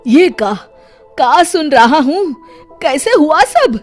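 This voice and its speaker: A woman's voice: high voice, very animated, slow pace, faint music behind, voice pushed up, heavily acted. A woman voicing a nosy, concerned village uncle in a folk tale, rustic and full of exclamations. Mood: curious. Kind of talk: dramatized folk-tale dialogue.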